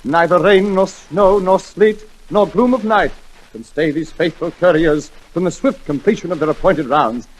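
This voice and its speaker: An actor playing a pompous, declamatory telegraph office boss in a 1940s radio comedy. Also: male voice, on the high side, normal speed, close to an everyday voice. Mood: grandly solemn. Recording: faintly noisy 1940s radio recording.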